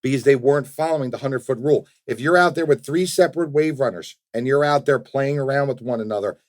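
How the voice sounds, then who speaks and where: A man in his late thirties chatting casually as a podcast co-host, telling stories in an animated, slangy way with some swearing. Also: mid-range voice, quick pace, dry recording, clean studio sound. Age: 30s, almost forty. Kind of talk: casual storytelling chat.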